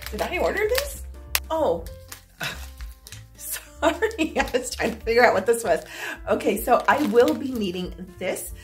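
A middle-aged woman filming a casual unboxing vlog at home, upbeat and chatty, her voice slightly hoarse as if she is losing it. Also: on the high side, big pitch swings, a little slow, soft background music. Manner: chatty and upbeat, clears her throat now and then. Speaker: vlogger. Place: small home room with stacked boxes, indoors.

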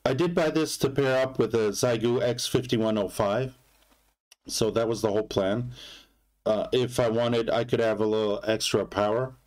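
A man giving a casual product demonstration as a reviewer, speaking haltingly in short phrases. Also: mid-range voice, a little mellow.

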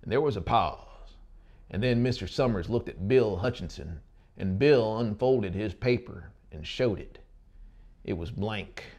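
A man's voice: on the low side, a little slow, a little mellow. A man in his thirties with a Southern American accent, narrating a story dramatically as an audiobook reader. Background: music.

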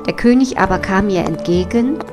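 A woman's voice: mid-range voice, normal speed, over music. A woman reading a fairy tale as a scripted storybook narration.

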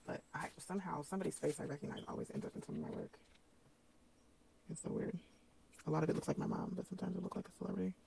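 Tired, quick-talking woman with heavy vocal fry. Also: lowish voice, a little hiss or hum. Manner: relaxed, tired late-night talk. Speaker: artist hosting a live drawing stream. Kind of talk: casual chat while drawing.

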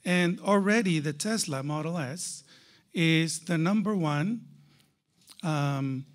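A man's voice: fairly high pitch, slow pace. A man giving a conference keynote to a live audience, emphatic, with rhetorical questions and repeated key phrases. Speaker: keynote speaker.

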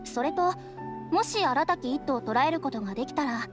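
Cool female sleuth, young, savvy and self-assured, high register, anime game dialogue. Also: lively intonation, a little fast, music in the background. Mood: cool, businesslike. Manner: confident, businesslike. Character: cool, shrewd young woman detective.

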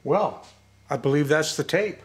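An older man casually thinking aloud as he demonstrates something hands-on, musing and low-key, picked up by a camera mic indoors. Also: measured pace. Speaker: vintage robot channel host. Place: indoor room with glass display table. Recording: echoey room, clear noise, handling clicks.

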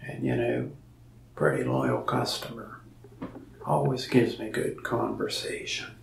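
A young man playing a lonely, friendly mask shop salesman in a soft-spoken ASMR roleplay, talking slowly and often slipping into a whisper. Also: on the low side, big pitch swings, roomy sound, recorded from a distance, a little hiss or hum, heavily acted. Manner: slow, soft-spoken, gravelly with frequent whispered stretches. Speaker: ASMR creator. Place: small indoor room, mask wall behind.

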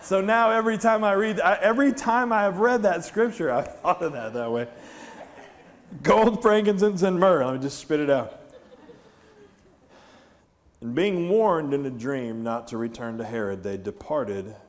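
Higher-pitched American pastor preaching. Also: male voice, moderate pace. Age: middle-aged. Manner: animated storytelling with questions to the congregation. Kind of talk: conversational church sermon. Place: large church stage, indoors.

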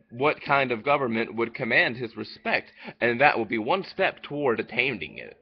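A man's voice: medium pitch, muffled recording. A man in his twenties reading an old essay aloud in a small room, stumbling and restarting words as he goes. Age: 20s. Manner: stumbling, repeating words and restarting mid-word. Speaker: vlogger. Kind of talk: halting read-aloud under a speech jammer. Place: small room by a window, indoors.

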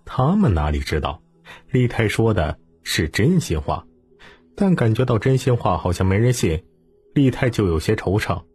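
A man narrating a historical novel as an audiobook in a dramatic, expressive storytelling manner. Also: fairly low pitch, moderate pace, breathy edge, faint music behind.

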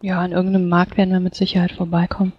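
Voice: mid-range voice, monotone, racing, soft background music. A tabletop roleplaying player improvising in casual, polite chat on a lo-fi voice channel.

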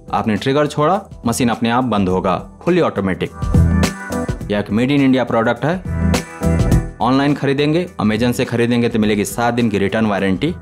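A man narrating a scripted product review voice-over in a steady, explanatory manner, addressing viewers as friends. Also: mid-range voice. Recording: voice-over with loud background music.

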